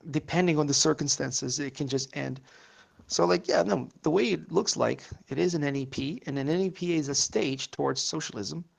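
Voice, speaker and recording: A man's voice: a little high, moderate pace, creaky. A man whose first language is Portuguese, rambling through casual political chat with many fillers and curses.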